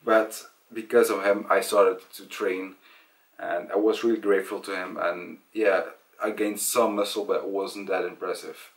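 A young man talking casually to camera about himself, in a hesitant, halting way. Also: low voice, little pitch movement. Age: young adult. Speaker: vlogger. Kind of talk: casual personal storytelling to camera. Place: small indoor room at home. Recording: voice distant from the mic.

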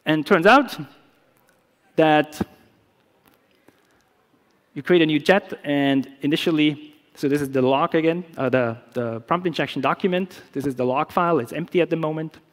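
A man's voice: a little high, moderate pace, pauses often. German man presenting in a big hall. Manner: relaxed, explanatory, many fillers and restarts. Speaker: security researcher. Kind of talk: conference talk with live demo. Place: large conference stage. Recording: long reverb of a big hall.

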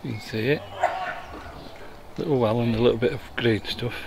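A man with a gravelly, creaky voice talks through a bowls match as it unfolds, chatty and explanatory. He is recorded outdoors on a camera mic, with clear background noise and birds around him. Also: mid-range voice, steady tone, moderate pace. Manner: chatty, explaining the play as it happens. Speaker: commentator filming the match. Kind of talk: casual amateur sports commentary. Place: outdoors at a bowling green.

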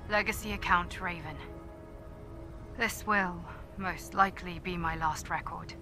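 An actress playing a soldier reading her final recorded log in a grave, measured way. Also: fairly low pitch. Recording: recorded log over game music.

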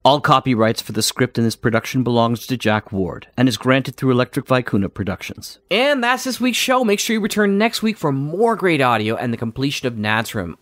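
A man hosting an audio drama podcast, reading credits and signing off in a brisk, friendly, matter-of-fact way. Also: mid-range voice, a bit bright, no room sound, studio quality. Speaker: podcast host. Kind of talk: show outro and credits.

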